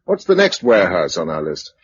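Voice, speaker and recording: A man's voice: sits low, wildly swooping pitch, a little fast, breathy edge, full character voice. An actor playing a practical, dry young British man in a radio drama, speaking in a clipped, matter-of-fact way. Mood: dry, easy. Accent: British English. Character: practical, dry young Englishman surviving a catastrophe. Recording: muffled radio drama recording, faint noise.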